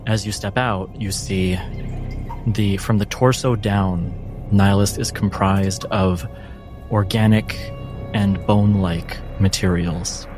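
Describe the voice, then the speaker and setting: A man narrating as game master in a tabletop game, measured and descriptive. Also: on the low side, fairly even intonation. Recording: clear music behind the voice.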